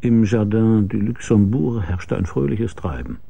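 An actor playing a world-weary private detective with a dry, laconic manner and gravelly voice, narrating and acting in a German radio drama. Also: male voice, on the low side, measured pace, muffled recording, slight background noise. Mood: wry, reflective. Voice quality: gravelly, husky, dark. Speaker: first-person narrator and lead.

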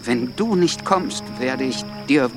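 The stuttering firebrand at a secret meeting of rebels, music behind him. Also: male voice, mid-range voice, lively intonation, takes it slowly. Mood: resolute. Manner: stammers on first sounds. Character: stammering, impulsive, defiant male revolutionary orator. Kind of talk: German radio drama acting.

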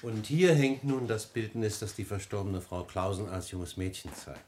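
An actor playing a dignified family physician in a classic radio play, formal, measured and declamatory. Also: male voice, lowish voice, fairly even intonation, a little mellow, close to an everyday voice. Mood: courtly. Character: courtly, dignified family physician, loyal and dryly pointed. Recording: noisy old radio drama recording.

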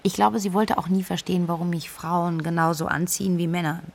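Creaky, low-toned candid young woman. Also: flat delivery, a little fast. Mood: wistful. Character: independent single young woman, candid about missing flatmate. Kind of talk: German radio drama, interview-like monologue.

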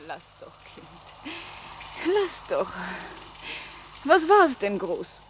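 A weary, soothing lady in a radio drama. She murmurs breathily and extremely slowly, with a high, lively pitch and faint noise behind her. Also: heavily acted. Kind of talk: German radio drama acting.

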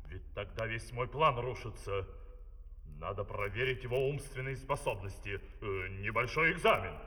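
An actor playing a pompous, scheming dictator in theatrical declamation for a comic fairy-tale opera on an old record. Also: male voice, fairly low pitch, normal speed, bright, forceful tone. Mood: brisk, commanding. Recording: old vinyl record, long hall reverb, music.

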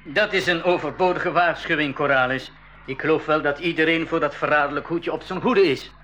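A man playing a practical, cautious jungle guide in a radio drama, instructing in a deliberate way. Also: a little high, sharp tone, slight background noise. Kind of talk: 1980s school radio drama acting.

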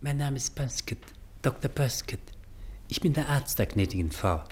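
An actor playing a courteous family doctor in a radio drama, with a polite, formal, measured manner. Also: male voice, mid-range voice, expressive, slightly rough. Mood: courteous, matter-of-fact. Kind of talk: German radio drama acting.

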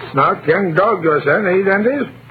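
An actor playing a nosy, teasing tailor neighbour full of sly hints, in 1930s radio drama style. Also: male voice, high-pitched, lively intonation, slow. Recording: tinny vintage radio, faint noise.

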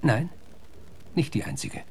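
A man's voice: measured pace, darkish tone, slight background noise, lightly acted. A man playing a blunt, suspicious American marshal in a German radio drama, firing curt, coarse questions. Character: gruff, blunt, suspicious male US marshal interrogating suspects.

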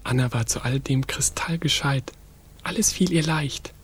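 An actor playing an exasperated, musing maestro in a radio play, with a breathy, half-whispered delivery. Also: male voice, mid-range voice, normal speed. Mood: wistful musing. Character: musing, exasperated gentleman maestro, pleading and reproachful.

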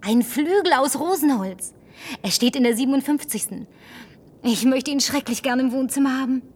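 An actress playing a brash, lonely survivor in a German science-fiction radio play, speaking in a brisk, sardonic, bossy way. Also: female voice, high voice, slightly rough, far from the mic. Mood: confiding, coaxing. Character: brash, lonely woman, last woman in deserted New York.

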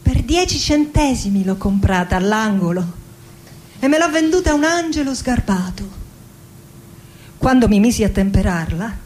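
An actress playing a middle-aged Argentine woman poet in a theatrical radio monologue, reciting lyrically and deliberately with dramatic pauses, melancholy, wry and passionate. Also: fairly high pitch, a little mellow.

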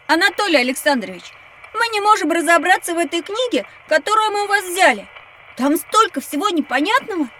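An actress playing a curious, outspoken school-age child in an educational audio drama, with expressive delivery. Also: female voice, extremely high pitch, a little fast, slight background noise, exaggerated voice, rough recording. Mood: frustrated.

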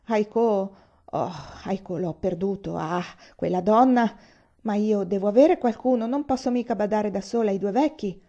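A woman's voice: medium pitch, mellow, highs cut off, natural voice. A woman voicing a plump, fussy mother with a sweet, fluid voice who complains plaintively.

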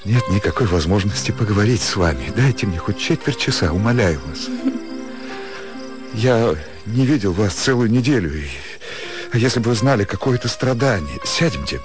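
A man's voice: on the low side, lively intonation, normal speed, lightly acted. An actor playing an earnest, lovestruck country doctor in a radio drama, pleading ardently and brooding over his doubts. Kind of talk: theatrical radio drama acting. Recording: music behind the voice.

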